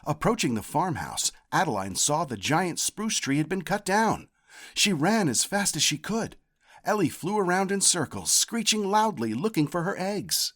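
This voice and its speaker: A man narrates a children's picture book. His delivery is deliberate and expressive, and his pitch moves around freely as he tells the tale. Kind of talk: scripted read-aloud narration.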